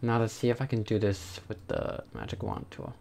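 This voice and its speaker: Young male streamer, lowish and gravelly, halting self-talk while working at home. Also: fairly even intonation, darkish tone, slight background noise. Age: young adult. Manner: halting, talking to himself. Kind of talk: casual livestream muttering while doing digital art. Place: small room at home, indoors.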